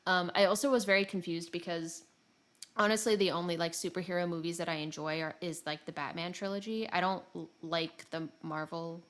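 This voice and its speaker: A woman's voice: on the low side, steady tone, brisk, a bit bright. Casual giggly woman podcaster. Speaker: podcast host. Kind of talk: casual gaming podcast chat.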